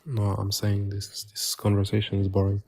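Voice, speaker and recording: A man's voice: on the low side, completely flat delivery, normal speed, creaky, a little mellow, breathy edge, dry recording, studio quality. A man on a live stream as a caller in a casual argument, interjecting for his turn, his voice run through a voice changer. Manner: interjecting, asks for a moment to speak.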